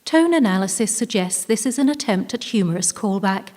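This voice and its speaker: In a reverberant hall, a woman performs an earnest artificial intelligence aboard a ship in a live stage drama. The delivery is formal and precise, with a literal-minded way of putting things, at a medium pitch. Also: lively intonation, brisk, darkish tone, lightly acted. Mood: analytical. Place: live on stage in an arts venue.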